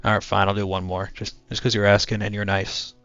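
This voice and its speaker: Monotone young man on a cheap headset. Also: brisk, dry recording. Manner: low-key, talks to chat while playing. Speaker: streamer. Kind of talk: casual gaming stream chat. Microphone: cheap headset mic. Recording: dull headset mic with game music.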